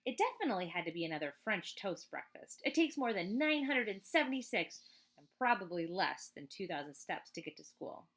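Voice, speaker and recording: A woman reading a children's book aloud as a teacher, in an expressive, chatty way with casual asides. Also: medium pitch, brisk. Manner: expressive, chatty, emphatic on list items.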